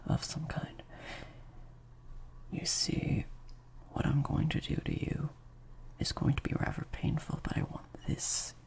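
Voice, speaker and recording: Man voicing a slow, creaky, whispering vampire master. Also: a little high, heavily acted. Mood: cold, warning. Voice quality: breathy, creaky half-whisper. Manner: slow, deliberate, hushed delivery with drawn-out pauses. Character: slightly sadistic male vampire master, controlling, coldly menacing captor. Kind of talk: intimate improvised audio roleplay addressed to the listener.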